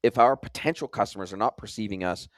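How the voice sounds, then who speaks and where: Frank, low-voiced male podcast host. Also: quick pace, no echo, studio quality. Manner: frank, casual, swears lightly, builds points by repetition. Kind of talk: conversational podcast interview.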